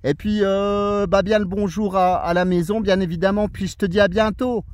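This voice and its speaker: A man's voice: high-pitched, fairly even intonation, moderate pace, very noisy. A man chatting casually and colloquially as an amateur radio operator, in an easygoing manner. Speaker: radio operator on a lunch break. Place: outdoors in the mountains.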